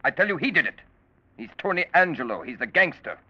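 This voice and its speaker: An actor playing an anxious, insistent crooked doctor, emphatic and formal, in 1940s radio style. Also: male voice, fairly high pitch, sing-song, fairly quick, bright, forceful tone, heavily acted. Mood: indignant protest. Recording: muffled old radio broadcast.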